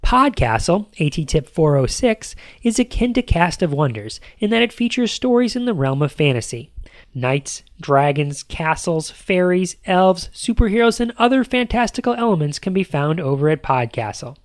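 A man's voice: sits high, very animated, normal speed, no room sound. Man hosting a podcast, dry close sound. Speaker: podcast host. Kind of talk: scripted podcast monologue.

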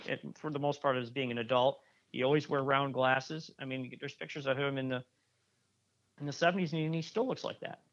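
A man's voice: fairly high pitch, a bit bright, dull audio. A man talking casually as a guest musician, rambling with frequent restarts and fillers. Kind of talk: casual interview chat.

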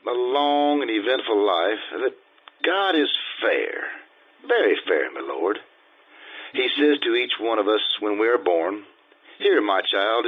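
A man playing an anguished, rueful adventurer in old-time radio drama style, hesitant and dramatic, over a thin phone-like recording. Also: on the low side. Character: Giacomo Casanova, anguished adventurer telling a lord his life.

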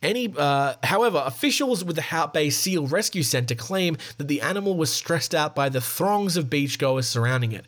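A man's voice: fairly high pitch, expressive, moderate pace, hardly any pauses, fairly bright tone. A man hosting a podcast, reading a news article aloud with wry, sarcastic asides and frequent self-corrections. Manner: wry, sarcastic running commentary. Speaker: podcast host.

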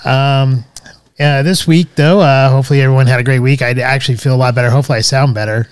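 A man's voice: mid-range voice, fairly even intonation, quick pace, clear, resonant tone, no echo. A man co-hosting a podcast in casual chat, talking in a hesitant way with many filler sounds. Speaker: podcast co-host.

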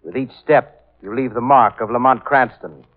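A man plays a coolly gloating killer from a 1940s radio play. He speaks in a medium-pitched voice, suave, mannered and condescending. The recording is thin and tinny. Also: moderate pace, close to an everyday voice. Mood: triumphant. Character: cultured, smug male murderer, coolly gloating mastermind. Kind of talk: 1940s radio drama acting.

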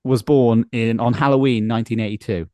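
A man's voice: mid-range voice, steady tone, fairly quick, a little mellow, no room sound, clean studio sound. A man hosting a casual, jokey sports chat and quiz, often laughing through his sentences. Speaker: podcast host and quizmaster.

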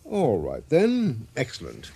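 An actor playing a reserved, fussy biology schoolmaster with a British accent, dry and stiff, in a radio drama. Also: male voice, medium pitch, huge pitch swings, slow pace, gravelly, dark tone, slightly rough, full character voice.

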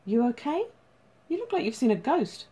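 A woman voicing an excitable, teasing male police detective with a brash, matey manner, in an audiobook story. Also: high voice, moderate pace, breathy edge, voice pushed up, full character voice. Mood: concerned.

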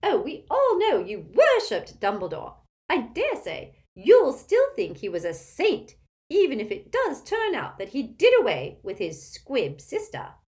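A woman's voice: high voice, huge pitch swings, measured pace, a little mellow, voice pushed up, full character voice. A woman voicing a haughty, spiteful, tipsy old witch aunt in a storybook, cackling and screechy, with an emphatic gossipy manner. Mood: gleeful, gossipy.

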